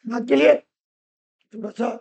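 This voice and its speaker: A woman teaching Hindi grammar, hoarse from a cold, asking questions and answering them herself while repeating the rules loudly. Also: fairly high pitch, a little slow, no echo. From Rajasthan. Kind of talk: classroom-style lesson.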